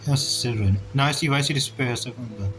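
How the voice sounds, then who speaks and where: Game streamer, casual reactions, blunt, halting, sweary, mid-pitched, prominent music behind. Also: low-quality recording.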